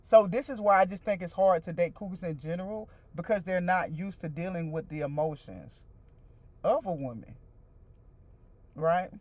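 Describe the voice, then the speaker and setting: A woman's voice: on the low side, moderate pace, darkish tone. A woman in her forties hosting a casual stream, talking loosely and repeating herself as she chats straight to her viewers and answers their comments. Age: 40s. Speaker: livestream host.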